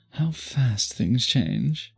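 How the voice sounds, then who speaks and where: Man voicing a courtly vampire lover, very breathy and husky, formal old-world phrasing, intimate, possessive, ASMR roleplay, music underneath. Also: mid-range voice, heavily acted. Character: courtly, obsessive old-fashioned male vampire suitor.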